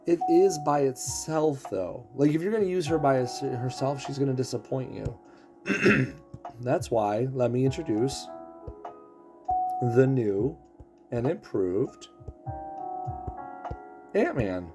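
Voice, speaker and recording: A man casually explaining a game character's abilities, throat rough as he is losing his voice. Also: medium pitch, lively intonation, slow pace, pauses often, music in the background. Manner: explanatory, walks through ability text. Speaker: game streamer.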